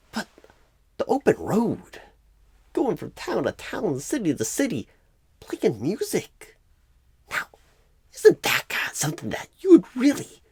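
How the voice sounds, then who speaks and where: Bumbling male recruiter, acted by a man, ASMR roleplay. Wildly swinging pitch, rambling halting delivery, very close dry sound. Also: creaky, a little mellow, breathy edge, no room sound, exaggerated voice. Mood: musing, coaxing. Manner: halting, odd pauses and stresses, rambling, repetitive.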